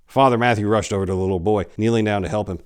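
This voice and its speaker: Man, forties, lowish voice, theatrical storyteller, scripted horror narration, deliberate emphasis, lapel mic. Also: clear, resonant tone. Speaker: author reading a short story. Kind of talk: dramatic reading of a horror story. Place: indoor room lined with bookshelves.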